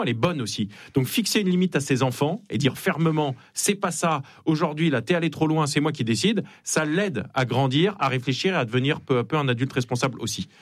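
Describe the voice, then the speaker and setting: A man's voice: a little high, dry recording, studio quality. A man explaining his view as a guest in a radio debate, with emphatic, stop-and-start phrasing. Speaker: studio guest, academic administrator.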